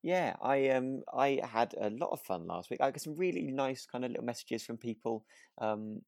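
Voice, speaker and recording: Very lively intonation, musing casual chat, podcast co-host, dry close recording. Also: mid-range voice, normal speed, creaky, no echo. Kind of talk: relaxed conversational podcast chat.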